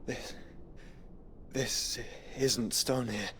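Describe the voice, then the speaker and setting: An actor playing a steadfast, thoughtful young man in a video game, calm and earnest. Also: medium pitch, very slow. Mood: uneasy. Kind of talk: dramatic video game acting. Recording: loud ambient noise behind.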